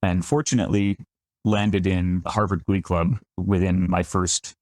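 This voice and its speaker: A man's voice: low voice, little pitch movement, measured pace, darkish tone, dry recording, clean studio sound. A man in his thirties from upstate New York, a winemaker guest on a podcast, chatting in a self-deprecating way with frequent restarts. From Finger Lakes region of New York. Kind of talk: conversational podcast interview.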